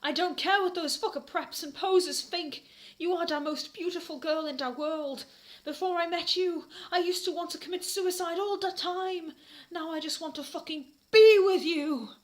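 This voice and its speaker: A woman's voice: very high voice, moderate pace, voice pushed up. A woman voicing a moody, lovesick gothic teenage boy wizard in a comic fan fiction reading, with overwrought, tearful declarations.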